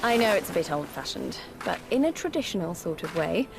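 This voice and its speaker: A woman's voice: fairly low pitch, very animated, fairly quick, vocal fry. A woman voicing an earnest, spirited young resistance fighter in a video game cutscene. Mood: sheepish, friendly.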